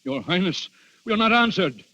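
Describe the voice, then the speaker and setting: A man's voice: high voice, expressive, normal speed, pushed and bright. An actor playing a desperate noble duke and father, formal and earnest in 1930s radio drama style. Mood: grave pleading. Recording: muffled old 1930s radio recording.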